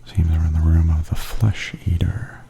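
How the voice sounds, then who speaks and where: A man giving softly spoken ASMR tour commentary in a hushed, breathy half-whisper. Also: rumbling low, little pitch movement, normal speed, slight background noise. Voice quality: soft, breathy. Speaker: host of ASMR walking tour videos.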